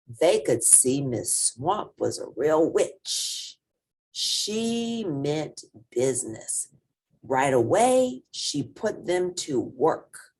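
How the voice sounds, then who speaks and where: A woman reading a children's storybook aloud as an expressive narrator. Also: low voice, slightly rough. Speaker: storybook reader.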